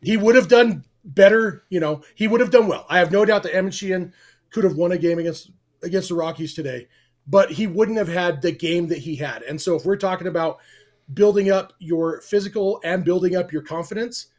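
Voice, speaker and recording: Higher-voiced man with a dry wit. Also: fairly quick. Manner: dry humor, detailed and explanatory. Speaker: podcast co-host. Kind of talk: casual sports podcast chat.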